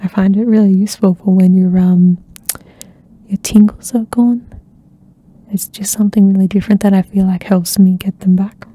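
A young woman hosting an ASMR channel, chatting softly and rambling with lots of fillers, often dropping into a whisper close to the mic. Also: mid-range voice, steady tone, normal speed, breathy edge. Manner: soft-spoken, slips into whispers, many fillers. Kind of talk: soft-spoken rambling catch-up chat. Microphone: close ASMR microphone.

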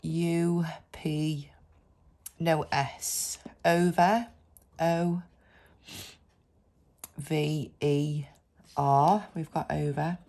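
A woman's voice: low voice, fairly even intonation, extremely slow, long pauses, a little mellow. A woman with a head cold, chatting casually as she scratches lottery cards and reads out numbers and letters. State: congested with a cold. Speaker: scratch card vlogger. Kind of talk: casual narration over scratch card video.

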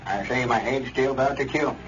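An actor playing a self-important, hopeful old country grocer with a folksy rural Southern American accent in radio comedy. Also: male voice, mid-range voice, a little fast, natural voice. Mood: complaining. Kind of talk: 1930s radio comedy acting. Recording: muffled, noisy old radio recording.

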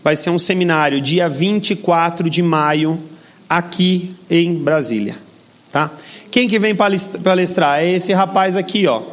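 A middle-aged Brazilian man speaking at a business seminar in a large hall, energetic and persuasive, talking straight to the audience. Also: sits high, measured pace. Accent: Brazilian Portuguese. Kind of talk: motivational seminar talk.

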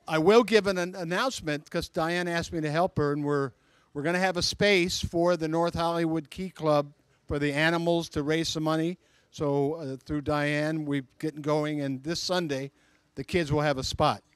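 Middle-aged male emcee, slightly high voice, dry close sound, chatty intros. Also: fairly even intonation, moderate pace, smooth, dry recording. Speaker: emcee of a club meeting. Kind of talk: introducing speakers. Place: outdoor restaurant patio at night. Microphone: handheld mic.

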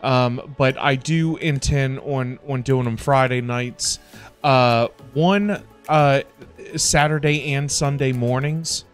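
A middle-aged man chatting casually on a livestream in a halting, repetitive, informal way. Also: medium pitch, steady tone, smooth. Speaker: livestream host. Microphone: close desk microphone. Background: background music.

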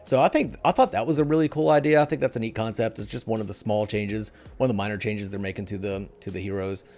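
A man's voice: on the low side, quick pace, darkish tone, soft background music. A middle-aged man hosting a casual discussion of gaming news, speaking into a microphone at home on a video call. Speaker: podcast host. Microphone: headset and desk microphone.

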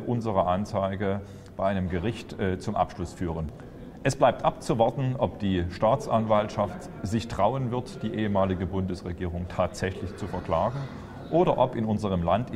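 A man's voice: lowish voice, big-room sound. A man in his fifties presenting a political video to camera, earnest and accusatory, with occasional fillers. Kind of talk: scripted political statement to camera. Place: indoors before a large window.

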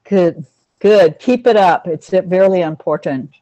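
A woman's voice: fairly low pitch, measured pace, mellow, no room sound, low-quality recording. Lady running a casual online class, reassuring and explaining stuff, pretty dry sound. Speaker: host teaching a class on pets. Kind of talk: casual group video-call class.

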